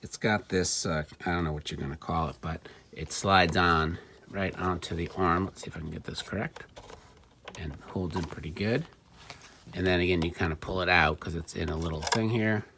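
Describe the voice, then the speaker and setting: Low-voiced male vlogger, fry in the tone, thinking out loud while demonstrating gear; slight background noise. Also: normal speed. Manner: musing, thinking aloud. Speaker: vlogger demonstrating and repairing vintage electronics. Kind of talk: casual hands-on demonstration talk.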